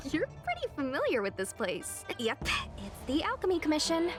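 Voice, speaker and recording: A woman's voice: high-pitched, wildly swooping pitch, normal speed. A woman voicing a friendly young soldier in a video game, chatty and reassuring. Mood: upbeat. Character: friendly young female soldier escort, upbeat. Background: game music.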